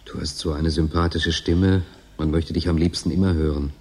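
A man's voice: a bass voice, fairly even intonation, normal speed, darkish tone, husky, full character voice. An actor playing a lonely, teasing male convict in a German radio drama, talking in an intimate, musing way. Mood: tender, wistful. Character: Tonio, lonely male station convict, yearning, teasing, bitter.

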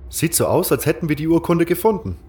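A man's voice: on the high side, big pitch swings, fast, mellow, slightly rough, exaggerated voice. An actor playing an adventurer who recounts his quest in the first person, as a storyteller in a scripted audio drama. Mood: in dialogue.

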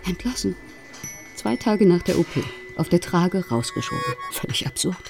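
An actor playing a hospital assistant, recounting events hesitantly. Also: male voice, sits high, expressive, moderate pace, creaky, music in the background. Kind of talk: radio drama acting.

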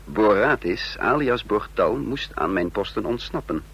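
An actor playing a shrewd secret agent in a radio drama, speaking in a measured, knowing way. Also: male voice, fairly low pitch, lively intonation, a bit bright, husky, lo-fi sound, exaggerated voice. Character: shrewd secret agent narrating his own spy scheme. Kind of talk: radio drama narration and acting. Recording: faintly noisy old radio recording.

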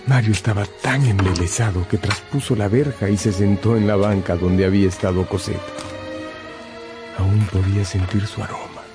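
A man's voice: lowish voice, fairly even intonation, moderate pace. A man narrating a scripted radio drama as a dramatic storyteller. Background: music.

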